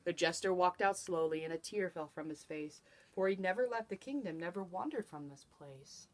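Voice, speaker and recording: Expressive woman reading a children's storybook. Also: fairly low pitch, moderate pace, rough recording. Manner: rhythmic, dramatic emphasis. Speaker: teacher reading aloud.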